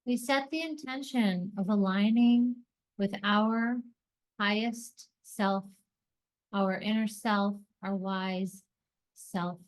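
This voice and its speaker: A woman hosting a guided meditation, speaking in deliberate short phrases with pauses between them, as scripted soothing narration. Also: mid-range voice, poor audio.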